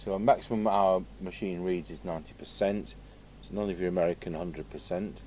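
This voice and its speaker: Low voiced guy doing casual repair commentary, kinda muttering to himself, noisy background. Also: fairly even intonation, brisk, a little mellow. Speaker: radio repair vlogger. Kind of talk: casual hands-on bench commentary. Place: indoors at an electronics workbench. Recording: clear background noise from a workshop. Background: background noise.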